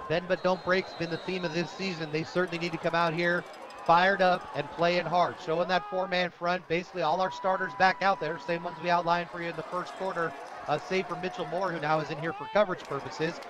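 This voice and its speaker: A man calling live football play-by-play on the radio in an energetic, excitable way. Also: high voice, brisk. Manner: energetic, rises to shouts on big plays. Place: outdoor high school football stadium broadcast position. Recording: loud crowd and noisy outdoor stadium. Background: crowd noise.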